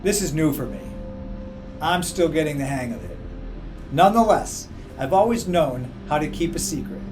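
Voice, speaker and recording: A man reading a children's book aloud in a measured, expressive way. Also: on the high side. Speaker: story reader. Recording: music playing behind. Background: background music.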